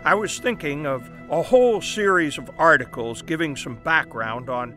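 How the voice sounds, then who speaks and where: An actor playing a brisk, persuasive newspaper boss in an audio drama, confident and explanatory. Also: male voice, on the high side, animated, sharp tone, soft background music. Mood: brisk enthusiasm. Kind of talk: family audio drama acting.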